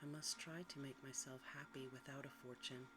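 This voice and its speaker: A hushed, soft-spoken narrator reads a bedtime tale in ASMR style. The voice sits at a medium pitch and stays quiet and gentle. Clear background noise and ambience run behind the reading. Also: fairly even intonation, normal speed. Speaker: narrator, storyteller.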